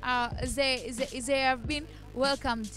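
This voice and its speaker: A woman's voice: high-pitched, fairly even intonation, slow, over music. A seventeen-year-old girl from Tanzania, a young reporter, speaking hesitantly. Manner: hesitant, simple phrasing.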